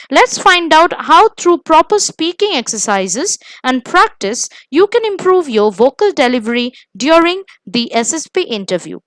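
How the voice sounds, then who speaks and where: A woman with an Indian accent presenting a scripted instructional lesson as host, with deliberate, emphatic phrasing. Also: high voice, a bit bright, no echo. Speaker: host of an exam coaching video.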